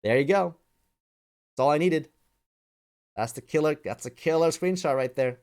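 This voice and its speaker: A man's voice: fairly high pitch, normal speed, a little mellow. A man hosting a livestream with casual live commentary, speaking in repetitive, stop-and-start phrases and often addressing his listeners directly. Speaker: livestream host.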